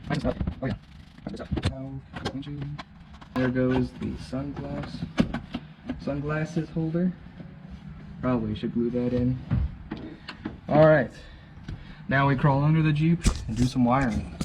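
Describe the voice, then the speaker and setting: A man's voice: medium pitch, slow, long pauses, noisy background. Man muttering while working on a Jeep. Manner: muttering to himself, mildly frustrated. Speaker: DIY vehicle modder. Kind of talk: casual narration while working. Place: in and under a Jeep.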